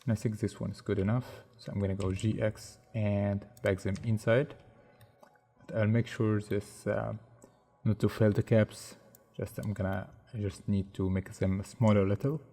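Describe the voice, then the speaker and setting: A man narrating a software modeling tutorial in non-native English phrasing, unhurried and thinking aloud step by step. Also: fairly low pitch, flat delivery.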